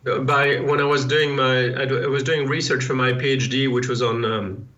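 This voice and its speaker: Guy guesting on a podcast, bright sharp voice, kinda muffled remote line, thinks out loud. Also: mid-range voice, fairly even intonation. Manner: thoughtful, self-deprecating, halting. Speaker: guest, lean consultant. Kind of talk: interview answers.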